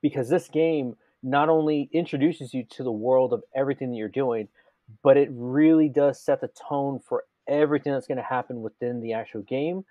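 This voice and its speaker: A man's voice: mid-range voice, normal speed, no echo, phone-quality sound. A man co-hosting a casual gaming podcast, talking enthusiastically and rambling with many restarts. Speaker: podcast co-host.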